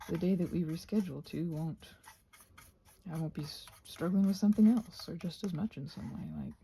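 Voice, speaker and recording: A soft-spoken woman chatting casually and musing aloud as a craft vlogger, often slipping toward a whisper and gently reassuring herself. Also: medium pitch, slight background noise. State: short on sleep. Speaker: craft vlogger and ASMR host. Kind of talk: casual rambling craft chat.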